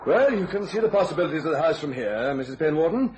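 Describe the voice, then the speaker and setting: An eager, flustered male house agent acted by a man in a radio thriller. His fairly high voice hurries along with animated, ingratiating intonation. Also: fast talker, heavy background noise, close to an everyday voice. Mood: nervously upbeat. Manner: chatty, nervous, ingratiating.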